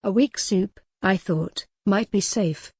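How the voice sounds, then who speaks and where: A woman voicing a very young, frail noble widow, speaking formally with quiet wryness. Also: mid-range voice, slow pace, slightly rough, no room sound, clean studio sound.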